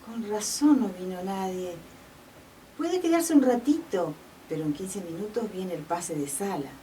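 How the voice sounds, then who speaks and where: A woman's voice: medium pitch, expressive, a little slow, dark tone, lightly acted. A woman acting a matter-of-fact hospital nurse in a radio drama. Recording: distant mic, faint room noise.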